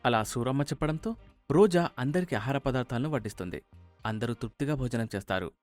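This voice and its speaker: A man's voice: medium pitch, animated, fairly quick, a little mellow, no echo, soft background music. A man narrating a scripted story as its storyteller.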